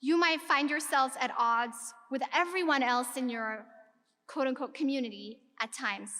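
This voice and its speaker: A woman's voice: high voice, normal speed, pushed and bright. A woman from Ethiopia giving an earnest keynote speech to a live audience, posing rhetorical questions to the audience. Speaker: keynote speaker. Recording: long reverb of a large hall.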